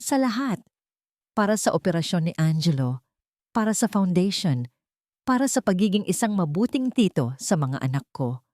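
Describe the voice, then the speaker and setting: Gentle grateful young mom read by a woman narrator, low-pitched, soft. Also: big pitch swings, moderate pace, no room sound, clean studio sound.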